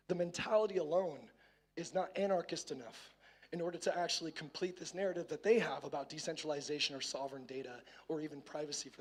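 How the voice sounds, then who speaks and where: A hoarse man giving a casual tech conference talk on a main stage, often stumbling and repeating words as he starts a phrase. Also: on the high side. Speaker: conference speaker.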